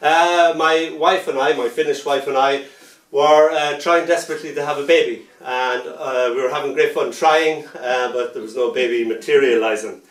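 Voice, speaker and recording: A man reading his poetry aloud, with a rhythmic, playful delivery that softens into gentle lullaby lines. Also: medium pitch, steady tone, moderate pace. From Ireland. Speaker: poet. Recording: roomy sound from a distant mic.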